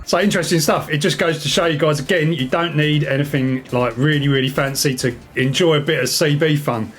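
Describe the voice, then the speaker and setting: A man's voice: on the high side, a little fast, fairly bright tone. A man hosting a hobby video in an informal, rambling, enthusiastic way, chatting casually to his viewers. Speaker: video host, radio hobbyist. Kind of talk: casual chat to camera.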